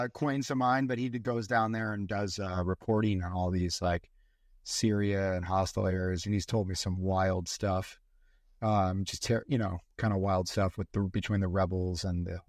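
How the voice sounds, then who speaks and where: Host: man, lower pitch, chatty and halting, close dry mic. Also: dry recording. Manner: rambling, hesitant questions with many fillers. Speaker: podcast host. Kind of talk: casual interview.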